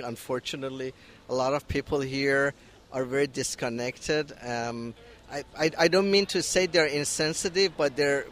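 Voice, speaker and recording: Young male activist, Iranian accent, halting and filler-heavy, recorded outdoors on a handheld mic with faint traffic behind. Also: mid-range voice, a bit bright. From Iran. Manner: hesitant, many restarts and fillers. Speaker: activist at a rally. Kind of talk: street interview. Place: outdoors at a street protest. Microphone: handheld interviewer mic.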